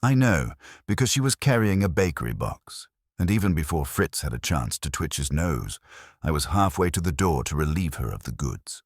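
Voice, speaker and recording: A man's voice: extremely low pitch, very animated, normal speed, breathy edge, dry recording, studio quality. A man narrating a scripted first-person detective story as host.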